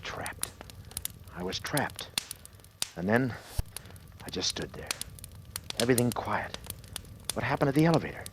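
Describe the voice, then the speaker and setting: A man's voice: mid-range voice, very animated, a little slow, long pauses, whispered. An actor playing a desperate, panicked businessman who narrates his ordeal in clipped, urgent sentences, in 1940s radio thriller style. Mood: rising panic. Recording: noisy old-time radio recording.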